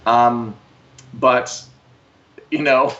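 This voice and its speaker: A man's voice: mid-range voice, steady tone, drawn-out words, a bit bright. A man co-hosting a casual entertainment livestream, giving opinions with emphatic rhetorical questions. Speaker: co-host of an entertainment news live show. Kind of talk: casual chat. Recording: dull livestream audio with faint noise.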